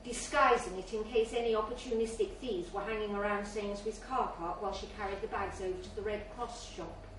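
A woman's voice: mid-range voice, fairly even intonation, brisk, few pauses. A woman reading a literary short story aloud as scripted narration at a live event in a bar. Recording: very echoey, distant mic, faint noise.

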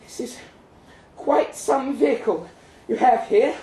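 A man's voice: very high voice, takes it slowly, mellow, pitched above the performer's own voice. A man voicing a good-humoured married lady with a breathless, honeyed voice in a live story reading. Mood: playful panting. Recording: echoey live room, audible noise.

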